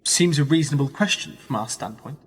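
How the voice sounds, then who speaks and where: An actor playing a younger, wry and politely sceptical journalist in a radio play. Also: male voice, a little high, a little fast, breathy edge. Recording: long reverberant hall echo.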